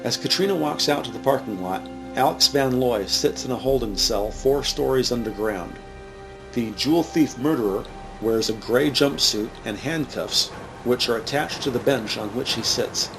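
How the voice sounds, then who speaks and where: Gravelly male crime drama narrator. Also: medium pitch, moderate pace, music in the background, poor audio. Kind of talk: scripted present-tense narration of a crime audio drama.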